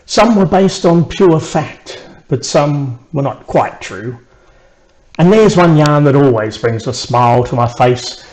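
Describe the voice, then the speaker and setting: A man with an Australian accent narrating a rhymed children's story in an expressive storyteller's way. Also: fairly high pitch, rough recording. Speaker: author reading own children's book.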